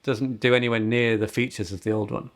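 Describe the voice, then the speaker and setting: A man's voice: lowish voice, steady tone, fairly quick. Man chatting casually about tech. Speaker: podcast co-host.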